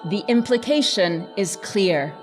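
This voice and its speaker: A woman giving a scripted lecture narration in a measured, articulate, emphatic manner. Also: mid-range voice, lively intonation, big-room sound, soft background music.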